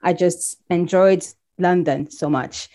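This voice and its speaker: A woman in her thirties, originally from Congo, talking casually as an interview guest, telling her story warmly with frequent restarts. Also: fairly low pitch, slow pace.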